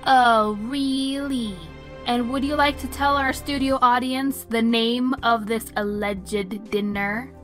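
A woman voicing a curious, cheeky little girl in an animated drama, precocious and mock-serious. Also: fairly high pitch, takes it slowly, soft background music.

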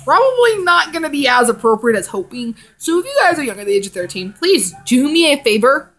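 A woman's voice: high-pitched, very animated, fast talker, fairly bright tone. A young woman vlogger chatting casually and reading fan fiction in a rambling, giggly way. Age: young adult. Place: living room indoors.

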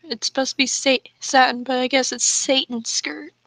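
A woman reading aloud casually and comedically, halting and stumbling over words. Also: sits high, fairly even intonation, vocal fry, fairly bright tone, no echo. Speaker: co-host of a group fanfiction reading.

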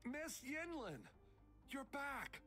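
An actor playing a wounded, loyal and anxious guard in a video game, speaking in an earnest, strained way. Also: male voice, very high-pitched, animated, slow, bright, forceful tone, faint music behind, exaggerated voice. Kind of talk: English video game voice acting.

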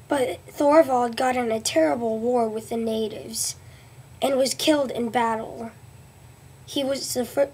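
A woman's voice: on the high side, mellow, slightly rough. A teenage girl playing the Viking explorer Leif Erikson for a school report, reading earnestly with many restarts, recorded on a webcam in a small room. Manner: reads haltingly with restarts. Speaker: student presenter. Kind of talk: school history report in character. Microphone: webcam at close range.

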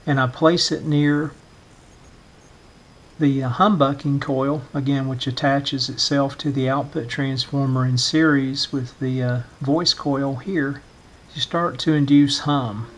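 A middle-aged or older man narrating a hands-on demonstration in a measured, explanatory way, often pausing mid-phrase. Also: mid-range voice, fairly even intonation. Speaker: vintage radio restorer giving a demonstration.

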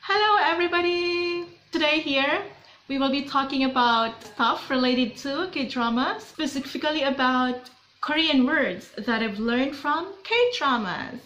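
A woman's voice: high voice, a little slow, fairly bright tone, echoey room. A young Filipino woman with a Filipino accent, chatting upbeat and playful in a casual vlog to camera in a small bedroom. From Philippines. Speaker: vlogger.

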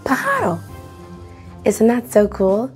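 A young woman hosting storytime for children, speaking in a lively, encouraging way straight to her young audience. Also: fairly high pitch, takes it slowly, soft background music. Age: young adult. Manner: encouraging, addresses children directly. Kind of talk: lively instruction and read-aloud for young children.

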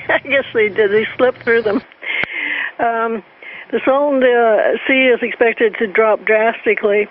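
A woman speaking as a panelist, explaining news reports in a halting way with repeated words. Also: a little high. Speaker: panelist on a talk show. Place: remote call into radio show. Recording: tinny phone-like line with static.

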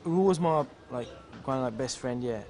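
A man's voice: medium pitch, expressive, moderate pace, music in the background. A man, casually recalling events in an interview. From English Midlands. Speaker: documentary interviewee. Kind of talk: casual recollection.

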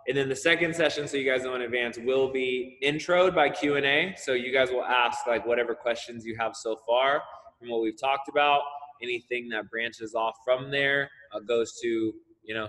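A man's voice: mid-range voice, a bit bright. A man coaching volleyball over a video call from a large indoor gym, explaining the plan in a loose, chatty way with plenty of "um" and "uh." His voice rings with the long reverb of the gym around him. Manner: casual, explanatory, lots of fillers. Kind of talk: online coaching seminar.

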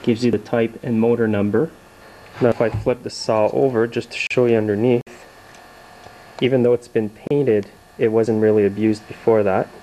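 A young man hosting a casual show-and-tell video in his garage workshop, explaining in a relaxed, plain-spoken way. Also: on the low side, moderate pace, slight background noise, rough recording. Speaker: video host. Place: home garage workshop, indoors.

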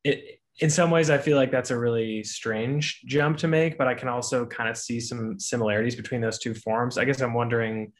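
A man's voice: medium pitch, steady tone, a little fast, hardly any pauses. A man in his thirties casually asking interview questions on a video call, tentative and searching for his words. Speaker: graduate student panelist. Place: bright room at home, on a video call.

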